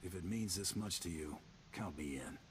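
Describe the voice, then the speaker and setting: An actor playing a cool, steady partner in crime in a video game, speaking in short, deadpan replies. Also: male voice, deep voice, moderate pace, a little hiss or hum, lightly acted. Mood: dry, supportive. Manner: terse, deadpan. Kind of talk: video game character dialogue.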